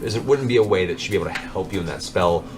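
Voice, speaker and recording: A man's voice: low-pitched, very fast, over music. A man narrating a tabletop game as game master, improvising descriptions.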